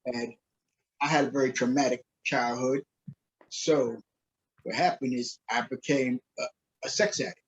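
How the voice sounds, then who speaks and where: A man from Queens with a husky voice at a middling pitch. He gives casual interview answers as a podcast guest. The sound is a little dull, like a lo-fi call. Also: steady tone, measured pace, long pauses. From Queens, New York, USA. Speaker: podcast guest, minister, author and coach. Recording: slightly dull, lo-fi call audio.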